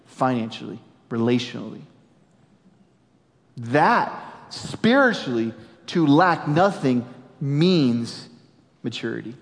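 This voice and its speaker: A man in his forties preaching a sermon as a pastor, animated and jokey, dropping suddenly to quiet emphasis. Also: on the high side. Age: 40s. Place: large church auditorium. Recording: long hall reverb.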